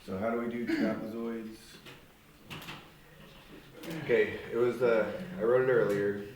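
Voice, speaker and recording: A man's voice: lowish voice, fairly even intonation, a little slow, darkish tone. A man teaching a geometry lesson to a class, explaining step by step and asking students questions. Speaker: math teacher. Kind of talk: classroom instruction. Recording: echoey classroom with faint noise.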